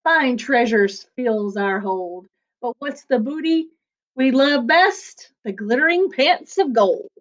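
A woman voicing an excitable crew of male pirates with high, alarmed exclamations in a storybook. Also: slow pace, lo-fi sound. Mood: gleeful.

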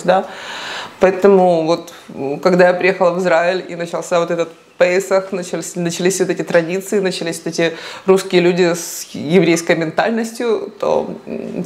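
A woman in her forties from eastern Ukraine, a psychologist giving a reflective documentary interview in her apartment on a lapel mic, pausing often to search for words. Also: lowish voice. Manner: thoughtful, searching for words with many fillers. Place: apartment living room, indoors.

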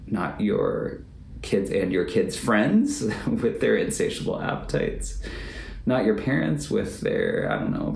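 A man in his forties preaching a reflective sermon as a pastor, speaking in a measured, deliberate way and repeating phrases for emphasis. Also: lowish voice, a little mellow. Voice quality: gravelly, creaky. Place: book-lined home office or study, indoors. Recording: roomy echo, faint background noise.

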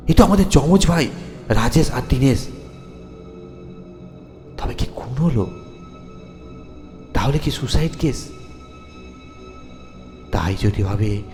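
Slow, reverberant male horror storyteller. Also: medium pitch, a little mellow. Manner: theatrical, deliberate phrasing with dramatic pauses. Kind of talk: dramatic first-person ghost story narration in Bengali. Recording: long reverb with music underneath. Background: music.

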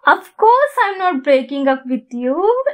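Woman acting a high-voiced, flustered demon girlfriend. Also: big pitch swings. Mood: evasive, nervous. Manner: halting, flustered, intimate delivery. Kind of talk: ASMR roleplay.

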